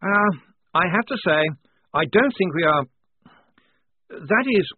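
A man's voice: high-pitched, animated, slow pace, slightly rough, no room sound, voice pushed up, exaggerated voice. A man voicing a fussy, short-sighted young army lieutenant who stammers and hesitates. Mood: hesitant. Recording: dry tinny phone-like sound.

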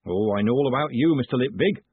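A small, bird-like town mayor from a storybook, played by a male narrator, sounds eager and nervous, with folksy, repetitive turns of phrase. His pitch jumps about and the voice is husky. The sound is narrow, like a phone line. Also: animated, fast. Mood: chummy, admiring. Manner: chatty, repetitive folksy phrasing with tag endings. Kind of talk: audiobook character dialogue. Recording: muffled, thin phone-like sound.

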